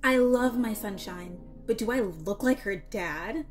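A woman's voice: mid-range voice, a little slow, faint music behind, natural voice. A woman voicing a sassy, confident teenage girl in a comic dub, wry and gossipy. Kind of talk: animated-style comic dub acting.

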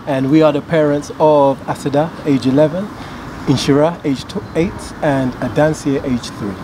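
A man raised in the UK, explaining calmly and steadily as an interview guest outdoors. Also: a little high, moderate pace, background noise. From United Kingdom. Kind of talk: casual interview. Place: outdoor garden patio.

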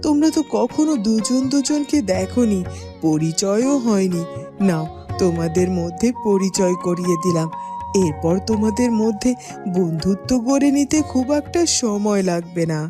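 A woman's voice: mid-range voice, lively intonation, a little slow, music in the background, close to an everyday voice. A woman playing a kindly royal aunt in a fairy-tale cartoon, coaxing and reassuring as she explains things.

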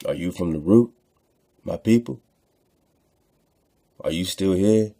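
Very deep, mellow male radio host. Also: slow, vocal fry, no echo, clean studio sound. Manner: rhetorical, preacher-like, repeats phrases for emphasis. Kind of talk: reading aloud from texts with informal spoken commentary.

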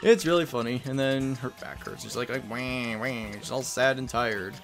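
A man's voice: mid-range voice, normal speed. A man giving casual, rambling, self-deprecating commentary on a video game. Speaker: let's player. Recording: game music behind.

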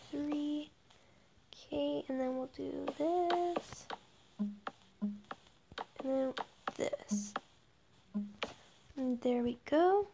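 A young woman with a high voice talks slowly through a video game build. She thinks aloud casually, often dropping to a murmur, over a noisy home recording with clicks. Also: darkish tone. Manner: murmured counting under breath, thinking aloud. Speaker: gaming video creator.

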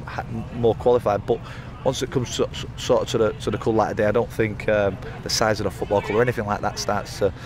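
A man in his thirties speaks as a football manager after a match, his low voice running fast and looping through fillers and repeated words. He stands pitchside under floodlights at night, close to a handheld mic. Loud outdoor noise sits behind him. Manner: rambling, frequent restarts and fillers. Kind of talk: post-match interview.

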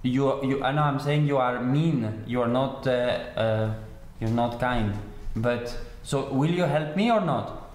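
A man with a mid-pitched voice talks haltingly, like a naive, confused customer on a support call. His English phrasing is non-native. The sound is noticeably echoey. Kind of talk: hesitant phone conversation.

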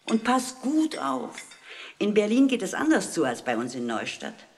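A woman's voice: medium pitch, huge pitch swings, normal speed. A woman playing a caring, fussing mother in a 1960s radio play, giving motherly instructions and admonishments. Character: caring, fussing working-class mother of a schoolboy. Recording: vintage record with background noise.

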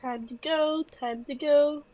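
A woman's voice: sits high, steady tone, slow, dark tone. A congested woman playing a horror game with a friend, chatty and a little nervous, asking lots of questions. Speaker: co-op gaming partner. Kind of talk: casual gameplay chat.

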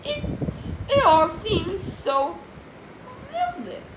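A dazed, wondering office lady from a storybook, giddy as if dreaming, performed by a woman. Her very high voice draws words out slowly. The room is echoey. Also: sing-song, background noise, exaggerated voice.

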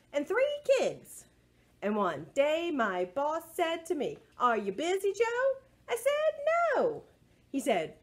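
A woman with a high voice hosts storytime for young children. She takes her time and swings her pitch around playfully, like a librarian reading aloud and leading action songs. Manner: animated, playful, sing-song delivery.

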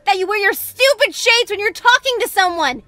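A woman voicing a quick-tempered teenage girl who swings between flustered and sarcastic, in a comic dub. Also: very high-pitched, lively intonation, no echo, heavily acted. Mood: angry. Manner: flustered, then sharp and sarcastic. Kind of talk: fan comic dub acting.

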